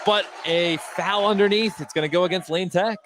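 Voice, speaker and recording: Higher-voiced man calling basketball over gym noise. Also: moderate pace, a bit bright, a faint crowd. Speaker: play-by-play basketball announcer. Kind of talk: live sports radio commentary. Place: indoor high school gym during a game. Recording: noisy gymnasium with bouncing basketballs. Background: game noise, bouncing basketballs.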